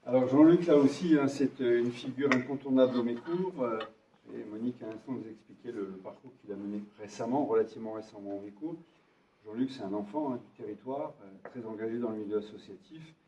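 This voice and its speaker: A man's voice: medium pitch, fairly quick, warm tone, a little hiss or hum. A man acting as mayor, introducing local volunteers to a gathering with frequent hesitations and friendly jokes. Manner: hesitant, repeats words, jovial. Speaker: mayor hosting a town meeting. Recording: echoey room, distant from mic, dull sound.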